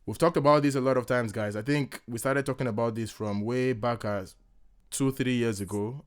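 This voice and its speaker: A man's voice: mid-range voice, steady tone, normal speed, smooth. An upbeat man hosting a sports podcast, chatting loosely and teasing his co-hosts. He talks at an easy conversational clip and keeps the energy up. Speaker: podcast host. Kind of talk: casual sports podcast chat.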